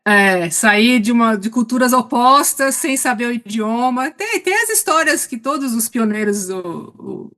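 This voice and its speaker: A middle-aged woman with a Brazilian accent chatting casually as a guest, halting as she searches for words. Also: on the high side, fairly bright tone. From Salvador, Brazil. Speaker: podcast guest. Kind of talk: casual autobiographical chat.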